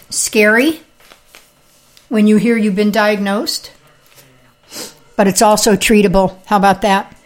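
A woman hosting her own podcast, talking casually with fillers and throat clearing, telling her own experience. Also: medium pitch. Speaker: podcast host; health practitioner with patients. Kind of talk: casual monologue.

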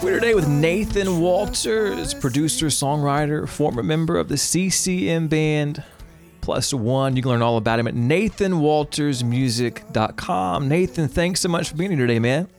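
Upbeat male host, slightly high voice. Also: normal speed. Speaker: podcast host. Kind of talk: scripted intro then casual interview. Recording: intro over loud guitar music.

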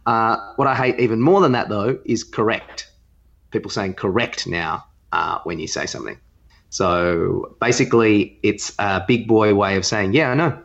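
Australian male co-host, fairly deep, loose rambling chat, somewhat lo-fi audio. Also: normal speed, creaky, a bit bright. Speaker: podcast co-host. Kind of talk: casual chat and opinion. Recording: slightly dull lo-fi sound.

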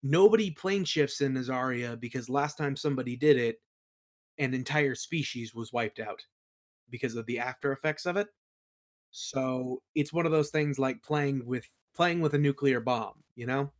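A man chatting informally as an interview guest, explaining his creative work in a halting, think-aloud way. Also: medium pitch. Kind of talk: casual chat, explaining own creative work.

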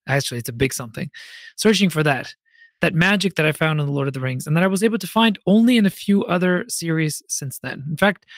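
Male podcast host, slightly high voice, expressive storyteller delivery, direct address, very dry close recording. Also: no room sound. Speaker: podcast host and fantasy author. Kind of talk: scripted podcast monologue with conversational asides.

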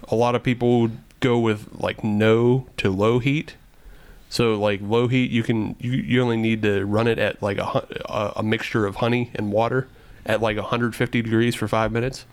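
A man's voice: lowish voice, level tone, normal speed. A man co-hosting a casual podcast chat, explaining things in a rambling way with lots of fillers. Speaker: podcast co-host.

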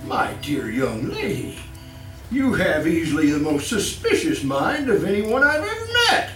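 A man's voice: a little high, huge pitch swings, measured pace, fairly bright tone, heavily acted. An actor playing a gleeful, sinister old male killer in old-time radio style, stammering and chuckling with mock courtesy. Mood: mock-courteous. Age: older. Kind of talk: live old-time radio horror acting. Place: live stage performance. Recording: roomy, distant live stage with music.

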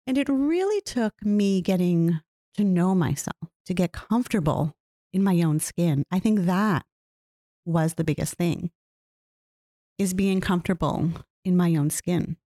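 Woman, earnest reflective talk, mellow low voice, dry close sound. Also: lively intonation, measured pace, dry recording. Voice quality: self-described low voice. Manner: earnest, musing, asks listeners questions.

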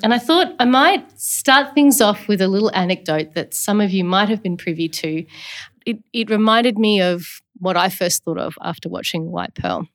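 A woman's voice: mid-range voice, normal speed. Woman, podcast host, reflective, storytelling, conversational. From Australia. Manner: reflective, storytelling with small restarts.

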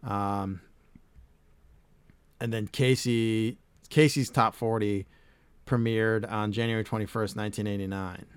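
A man's voice: lowish voice, steady tone, a little slow, pauses often, clear, resonant tone, dry recording. A middle-aged man hosting a casual podcast chat, reading facts aloud and swearing casually. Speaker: podcast host.

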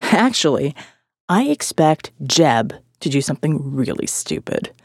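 Gruff survivalist leader, male played by a woman: low register, animated delivery, cynical, profane, blunt, audio-fiction monologue. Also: measured pace, dry recording, clean studio sound, full character voice. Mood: sardonic. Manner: blunt, profane, world-weary.

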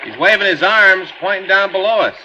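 An actor playing a steady detective partner who talks in a plain, easygoing way, in an old radio drama with surf behind him. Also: male voice, sits high, a little fast, noisy background, lightly acted. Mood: cautious. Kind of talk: 1950s radio drama acting. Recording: dull old radio transcription with crashing surf.

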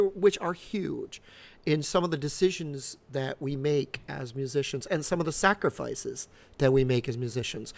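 A man in his fifties co-hosting a podcast, explaining things casually in a measured, halting way. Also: a little high, gravelly. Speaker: podcast co-host.

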